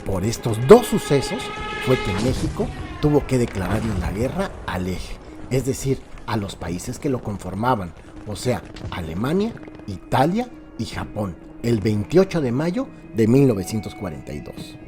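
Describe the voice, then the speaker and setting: A man's voice: medium pitch, sing-song, moderate pace. A man with a Mexican accent narrates a crime radio serial as a dramatic scripted story, addressing listeners directly in a theatrical way. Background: background music.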